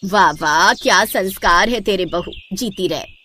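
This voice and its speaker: A woman's voice: medium pitch, unhurried, sharp tone, slight background noise, voice pushed down. An older mother-in-law from a moral storybook tale, acted out by a woman narrator. She lectures emphatically, weighing up the young wives around her, and her voice drops under the narrator's usual tone. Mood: gushing approval. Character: older mother-in-law, preachy, admiring then scolding.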